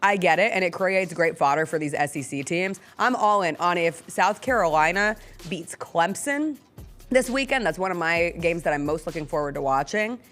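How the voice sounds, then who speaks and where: A woman's voice: lowish voice, expressive, moderate pace, a bit bright, soft background music. A woman hosting a sports talk show, giving casual, opinionated and analytical commentary with attention to detail.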